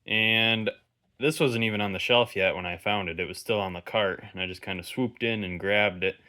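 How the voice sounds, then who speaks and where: Man, low voice, casual show-and-tell host, unscripted, musing, rambling asides. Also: steady tone, moderate pace, pushed and bright, slight background noise. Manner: musing, rambling, thinking aloud. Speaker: host of a vintage electronics inspection video.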